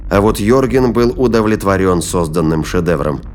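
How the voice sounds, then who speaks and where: A man's voice: low-pitched, lively intonation, normal speed, slight background noise. A man narrating a science-fiction thriller audio play as scripted storytelling.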